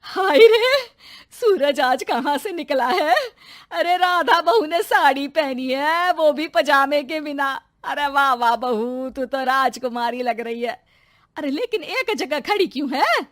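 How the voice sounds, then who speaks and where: A woman's voice: high-pitched, expressive, slow pace, dry recording, studio quality. A woman doing a dramatic, complaining mother-in-law. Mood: delighted. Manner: lamenting, sarcastic.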